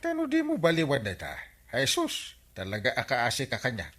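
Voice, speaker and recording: A man's voice: medium pitch, huge pitch swings, normal speed, fairly bright tone. A man with an Ilocano Filipino accent playing a scolding, teasing village captain in a radio comedy drama.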